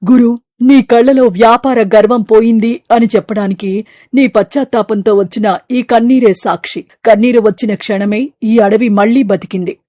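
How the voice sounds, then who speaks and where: A woman plays an ancient sacred tree spirit in an animated fable. The voice is fairly high and dry, with a grave, declamatory delivery. The tree is stern but forgiving, a mother of the forest. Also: moderate pace, no room sound, close to an everyday voice. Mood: solemn, forgiving. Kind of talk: animated moral story dialogue.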